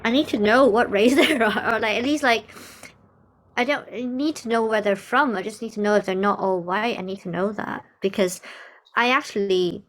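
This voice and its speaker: Young woman from Hong Kong, music therapist, animated and quick to laugh in casual discussion. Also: medium pitch. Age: young adult. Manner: animated, laughs often, acts out quoted remarks. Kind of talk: conversational discussion.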